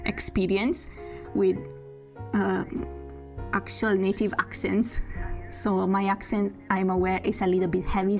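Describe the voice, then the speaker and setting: A young woman with a heavy Italian accent chats to her stream audience in a mid-pitched voice. She sounds self-conscious and apologetic, laughing lightly between phrases. Soft music plays faintly behind her. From Italy. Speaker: VTuber streamer. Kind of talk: casual live-stream self-introduction.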